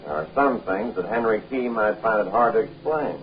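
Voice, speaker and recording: An actor playing a gruff, shifty henchman in a 1930s film serial. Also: fairly low pitch, moderate pace, noisy background, natural voice. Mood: guarded, insinuating. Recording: dull 1930s film soundtrack.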